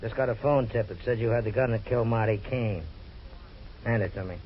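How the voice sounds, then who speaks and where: A man playing a sarcastic, low-voiced police detective. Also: brisk, close to an everyday voice. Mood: needling. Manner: needling, sarcastic. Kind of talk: 1940s radio drama acting. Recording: dull lo-fi old radio recording.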